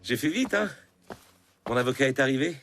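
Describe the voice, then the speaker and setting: A busy, good-natured cartoon father, voiced by a man. His mid-range voice moves up and down in a lively way. Music is clearly heard behind him. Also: mid-range voice, fairly quick, natural voice. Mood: hurried, cheerful. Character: affable, busy father of young children. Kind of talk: French animated series dubbing.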